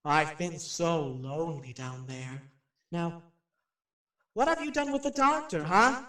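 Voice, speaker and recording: Echoey, unravelling radio personality, frightened, rambling, high swooping pitch, radio drama. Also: male voice, unhurried, far from the mic. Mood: lonely, anxious. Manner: rambling, excitable, talking to unseen figures. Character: frightened, hysterical male radio personality unravelling in a haunted house. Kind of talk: radio play acting. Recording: roomy echo, away from the microphone.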